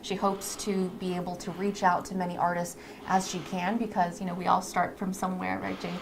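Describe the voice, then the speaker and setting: A woman's voice: lowish voice, flat delivery, fairly quick, vocal fry, low-quality recording. Chatty woman presenting over background noise. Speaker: live stream co-host introducing a lash artist. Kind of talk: casual presenting to a live audience.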